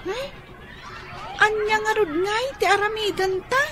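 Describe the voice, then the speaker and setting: A woman's voice: extremely high pitch, measured pace, a crowd in the background. A woman playing an anxious, wide-eyed little girl in a radio drama.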